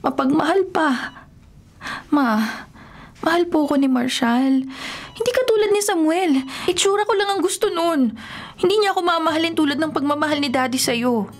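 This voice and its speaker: A woman's voice: sits high, normal speed. A young woman with a Filipino accent playing an earnest, devoted daughter in an emotional radio drama. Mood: pleading, tearful. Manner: emotional, pleading and tender. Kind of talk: Tagalog radio drama acting. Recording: radio drama with music underneath.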